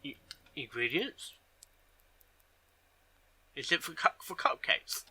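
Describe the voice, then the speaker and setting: A man giving casual, halting reaction commentary in short muttered interjections. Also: on the high side, big pitch swings, pushed and bright. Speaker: reaction video host.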